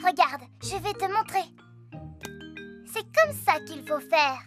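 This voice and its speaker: A woman's voice: extremely high pitch, animated, slow pace, fairly bright tone, soft background music, full character voice. A woman voicing a playful, boastful little girl pig with a bubbly, childlike delivery in a French children's cartoon. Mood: cheerful, bossy.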